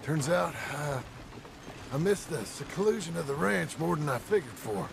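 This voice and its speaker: A gravelly American frontier father, stern and weary. He talks curtly, a little high for a man, over clear outdoor wagon noise. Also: expressive, moderate pace. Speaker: game character, father. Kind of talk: naturalistic video game acting.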